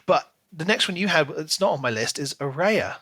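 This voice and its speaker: A man co-hosts a casual book discussion with an animated manner. His voice sits a little high and is notably bright and crisp-edged. The sound is very dry, with hardly any room to it. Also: fairly quick, no echo. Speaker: podcast co-host.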